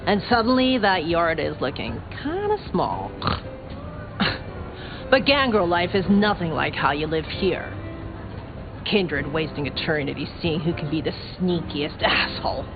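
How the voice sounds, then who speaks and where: A woman's voice: low-pitched, sing-song, a little slow, heavily acted. An actress playing a brash, sarcastic young female vampire in a video game, a scrappy small-town newcomer who talks in slangy, sardonic, sweary bursts. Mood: wry. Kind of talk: video game character dialogue. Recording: dull game audio under clear music.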